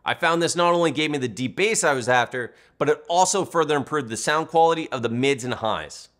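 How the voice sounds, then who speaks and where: A man's voice: on the high side, brisk, fairly bright tone. A man reading a scripted product review as the reviewer and narrator.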